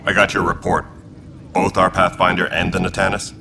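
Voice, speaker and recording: Very deep gravelly alien commander. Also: male voice, little pitch movement, a little fast. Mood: weary, grateful. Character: gruff, weary but grateful male alien security commander. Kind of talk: video game dialogue. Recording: distant, noisy game ambience.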